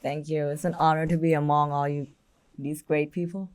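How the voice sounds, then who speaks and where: A woman's voice: low-pitched, little pitch movement, moderate pace, darkish tone. A woman in her twenties with a Thai accent, answering casually as an interview guest, candid and playful. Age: 20s.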